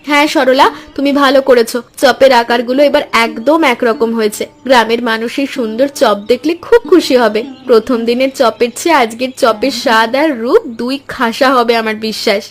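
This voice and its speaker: A woman's voice: on the high side, a little fast, few pauses, faint music behind, lightly acted. A woman voicing a devoted, hopeful village wife in a Bengali cartoon story, earnest and encouraging. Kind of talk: Bengali animated moral story dialogue.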